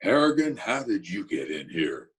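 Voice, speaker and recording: A man's voice: fairly low pitch, very animated, moderate pace, fairly bright tone. A man playing a confident, persuasive schemer with an announcer's manner in an amateur radio play. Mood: pleading. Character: confident smooth-talking male schemer playing master of ceremonies. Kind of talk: amateur old-time radio play acting.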